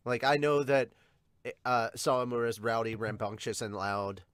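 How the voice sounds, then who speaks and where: A man's voice: medium pitch, moderate pace, fairly bright tone, clean tone, no room sound. A man hosting a casual reaction podcast, explaining things emphatically and swearing casually. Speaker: podcast host. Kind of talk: casual chat, manga reaction.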